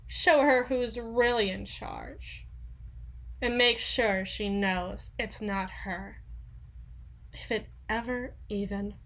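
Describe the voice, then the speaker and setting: A woman playing a domineering, conniving young woman, possessive and jealous, in a theatrical, sardonic ASMR roleplay monologue with haughty, drawn-out emphasis. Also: mid-range voice, fairly bright tone, rough recording. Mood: taunting, possessive.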